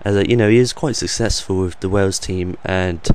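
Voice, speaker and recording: Young man, croaky deep voice, flat monotone delivery, rambling with ums, faint background hiss. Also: brisk. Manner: rambling, hesitant, many ums and uhs. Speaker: gaming channel host. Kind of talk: off-the-cuff voice-over commentary.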